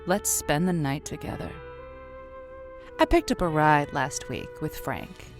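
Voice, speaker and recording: Theatrical low-voiced radio matchmaker. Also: female voice, animated, a little slow, music in the background. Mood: playful, sultry. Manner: theatrical, playful, wordplay-loving. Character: chatty, flirty woman radio matchmaking host. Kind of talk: radio show monologue in an audio drama.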